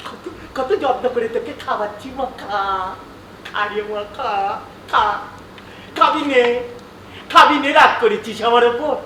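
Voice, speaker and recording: Obsessive, fervent male character, stage actor, projected bright voice, echoing theatre with faint noise. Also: very high voice, heavily acted. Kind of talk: projected stage drama acting. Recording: echoey theatre hall, distant mic, faint noise.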